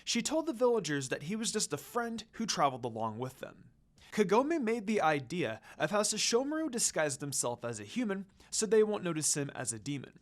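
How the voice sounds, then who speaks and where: A woman reading fan fiction aloud as an expressive storyteller. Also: on the low side, brisk.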